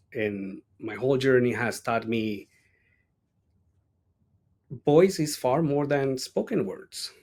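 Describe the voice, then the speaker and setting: A man in his late thirties with a Colombian accent, a native Spanish speaker, reflecting on his life as an interview guest in halting, thoughtful phrases. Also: mid-range voice. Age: late 30s. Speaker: interview guest, language teacher. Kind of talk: reflective personal interview talk.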